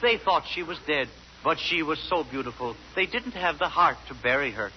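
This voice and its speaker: A man's voice: a little high, animated, normal speed, a little hiss or hum. A man on a 1940s radio comedy, telling a fairy tale earnestly as the straight man. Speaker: comedy host. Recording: dull lo-fi 1940s radio broadcast.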